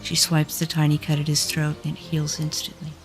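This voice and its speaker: A woman's voice: low-pitched, monotone, a little fast, faint music behind. Woman narrating a fantasy story. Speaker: narrator and lead actor.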